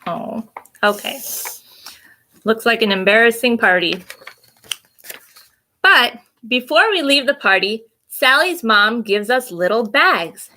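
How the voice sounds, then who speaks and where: A woman's voice: on the high side, sing-song, slow pace, long pauses, pushed and bright. A woman hosting children's story time, reading a storybook expressively with simple asides and questions for young listeners.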